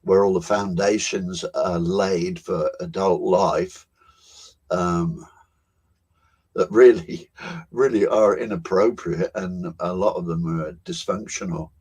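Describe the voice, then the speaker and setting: A man, co-hosting a talk show on a video call and musing over his life in an unhurried way, with soft laughs and pauses. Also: deep voice, fairly even intonation, slightly rough. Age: 70s. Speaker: co-host. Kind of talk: reflective personal storytelling.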